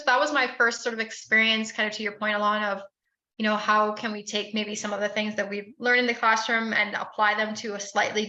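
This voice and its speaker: A woman's voice: medium pitch, sharp tone, reverberant, highs cut off. A woman from the Bahamas in her thirties, telling her career story as an interview guest with frequent "um" fillers. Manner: frequent "um" fillers, friendly and measured. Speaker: podcast guest.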